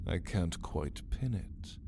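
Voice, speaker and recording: A man playing an ancient, arrogant male vampire in an ASMR roleplay, taunting the listener theatrically with drawn-out, partly whispered words. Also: deep voice, expressive, dark tone, a little hiss or hum. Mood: musing, taunting.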